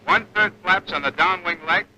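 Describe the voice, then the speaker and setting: A man's voice: high voice, fairly quick, pushed and bright, low-quality recording. A man playing a seasoned, exacting bomber flight instructor in a 1940s training film, with a clipped, instructional manner. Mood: brisk, firm. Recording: old 1940s film soundtrack, engine noise.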